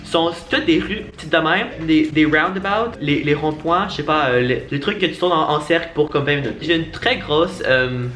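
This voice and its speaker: Young Québécois man, YouTuber, chatty and self-mocking, bright voice, echoey room. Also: on the high side, moderate pace, few pauses. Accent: Québécois French. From Quebec, Canada. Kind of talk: casual vlog chat.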